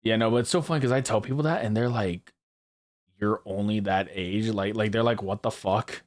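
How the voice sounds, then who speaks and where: A young man with a lowish voice hosts a loose chat among friends. He talks in a rambling way, often restarting and repeating phrases, and swears freely. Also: steady tone, moderate pace. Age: early 20s. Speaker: podcast host.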